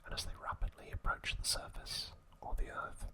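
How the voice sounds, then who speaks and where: A man hosting ASMR, whispering a recap in a hushed, unhurried manner with deliberate pauses. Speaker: ASMR host.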